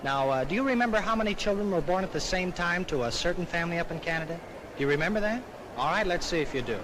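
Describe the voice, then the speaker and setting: A man with a fairly high voice works as a stage demonstrator in 1930s showman style. He presents to the public in patter. The old film sound is plainly noisy. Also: animated, fast talker.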